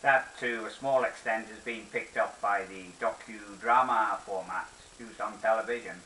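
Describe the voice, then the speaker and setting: An older man giving a nostalgic, reflective monologue as a presenter, with measured, deliberate, halting phrasing. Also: on the low side, steady tone, sharp tone. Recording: roomy echo, distant mic, faint hiss.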